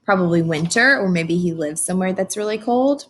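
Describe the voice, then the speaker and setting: A woman reading a picture book aloud to young children as a teacher does, pausing to explain and ask simple, encouraging questions. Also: on the low side, animated, background noise.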